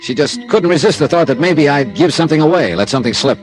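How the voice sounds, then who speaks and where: An actor playing a tough, wry insurance investigator in a classic radio drama, clipped and sardonic. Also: male voice, a little high, lively intonation, fast, close to an everyday voice. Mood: dry narrating. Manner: clipped, sardonic, hard-boiled. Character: tough, wry freelance insurance investigator, narrating his case. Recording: vintage radio drama with music.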